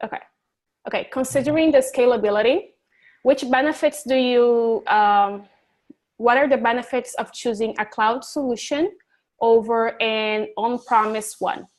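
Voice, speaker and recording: Woman, English teacher, fairly high voice, chatty, encouraging, self-deprecating, video call. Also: a little slow. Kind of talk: online class, casual teaching.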